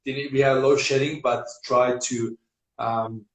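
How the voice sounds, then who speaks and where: A man with a Serbian accent, speaking as an energetic guest in a casual live-stream interview, with non-native grammar and repetition. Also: medium pitch, measured pace, background noise. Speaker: business guest. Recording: echoey room.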